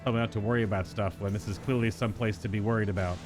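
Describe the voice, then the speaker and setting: A man's voice: lowish voice, fairly even intonation, rapid-fire. Man streaming a game, music behind. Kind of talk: live reaction commentary and reading game dialogue aloud.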